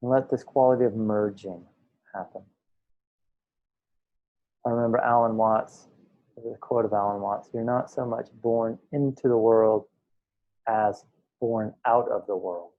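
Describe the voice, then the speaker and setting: Man leading a slow guided meditation, muffled recording. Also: fairly low pitch, steady tone. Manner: unhurried, pausing between short phrases. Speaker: meditation teacher.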